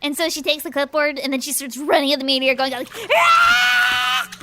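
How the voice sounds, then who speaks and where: Bright, very animated high-voiced young-sounding woman. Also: normal speed, loud music behind the voice. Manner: excitable, slangy, impulsive delivery. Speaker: player. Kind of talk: in-character tabletop role-play.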